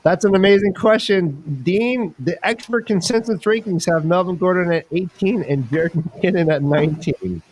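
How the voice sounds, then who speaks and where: A man's voice: high voice, normal speed, few pauses, darkish tone, slightly rough, low-quality recording. Casual, jokey man hosting a sports livestream. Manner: jokey, colloquial, addresses listeners directly. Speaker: host. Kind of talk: casual fantasy football livestream chat.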